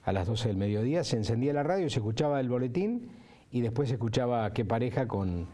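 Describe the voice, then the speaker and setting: A man's voice: medium pitch, lively intonation, fast, warm tone. A man with an Argentine accent reminisces reflectively in an interview, often ending phrases with a tag question.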